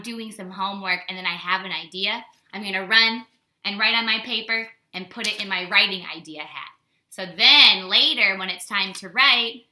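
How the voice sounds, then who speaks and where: An American woman speaks as a teacher to young children, with a very bright, forward voice. Her style is a casual storytime lesson, animated and simply worded, with questions put to the listener. The recording is echoey, like a reflective room at home. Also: mid-range voice. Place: indoors at home.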